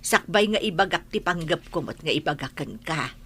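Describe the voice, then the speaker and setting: A woman's voice: low voice, expressive, moderate pace, a bit bright, breathy edge, full character voice. A woman acting a concerned older mother in a radio drama, coaxing and sighing, with an Ilocano accent. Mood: confiding, troubled. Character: concerned older mother, reassuring yet meddling.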